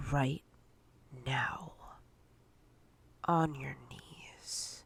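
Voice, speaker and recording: A woman playing a domineering, menacing corporate boss in a breathy roleplay ASMR whisper, commanding and condescending in a deliberate way. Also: low-pitched, a bit bright, exaggerated voice. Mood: cold, commanding.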